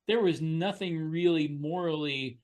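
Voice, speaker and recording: Higher-pitched man, earnest podcast talk. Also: unhurried, a little mellow. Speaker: podcast co-host. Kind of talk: casual panel discussion.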